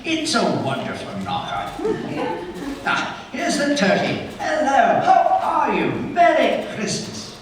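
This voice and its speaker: An actor playing a reformed old miser, giddy and kindly, in a theatrical radio play style. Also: male voice, very high-pitched, wildly swooping pitch, slow, exaggerated voice. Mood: joyful. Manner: exuberant, chuckling, swooping delivery. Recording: echoey hall, distant mic, faint noise.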